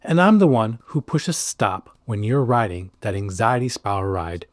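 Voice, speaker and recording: A man narrating a scripted educational explainer as a psychiatrist host, stressing key words with dramatic pauses. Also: fairly low pitch, animated, no echo, studio quality.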